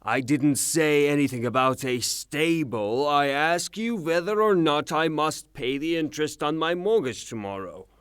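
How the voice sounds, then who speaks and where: A man's voice: a little high, animated, measured pace, hardly any pauses, fairly bright tone, smooth, no room sound, clean studio sound. A man acting in a theatrical audio drama as a furious, rude creditor given to blustering, sarcastic tirades. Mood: stubborn, indignant. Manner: blustering, declamatory, sarcastic, long rhetorical tirades. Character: furious, rude creditor, a ranting misogynist gentleman.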